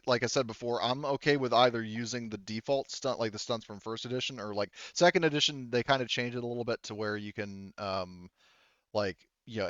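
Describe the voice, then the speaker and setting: A man's voice: mid-range voice, a bit bright, clear, resonant tone, no echo, muffled recording. A man speaking casually as game master of a tabletop roleplaying game, explaining rules and outcomes in informal, sweary talk.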